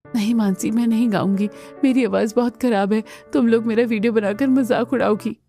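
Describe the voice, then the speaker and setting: A woman voicing a gentle, timid young daughter-in-law in a family cartoon, earnest and hesitant. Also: on the high side, steady tone, a little fast, soft background music, lightly acted. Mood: insecure, sad. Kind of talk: Hindi family cartoon dubbing.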